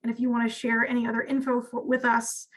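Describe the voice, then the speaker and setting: A woman with a fairly high voice presents to an online audience. She sounds upbeat and friendly and fills her sentences with ums, heard through video call audio. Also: completely flat delivery, fast talker. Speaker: community outreach presenter.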